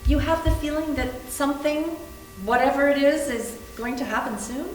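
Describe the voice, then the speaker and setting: A nervous, earnest woman in a haunted-house stage reading acted by a woman. Her voice sits high and comes from a distance in a very echoey room. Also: moderate pace, darkish tone, a little hiss or hum, natural voice. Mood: uneasy, earnest. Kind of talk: amateur readers theater performance. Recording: echoey library room, far from mic.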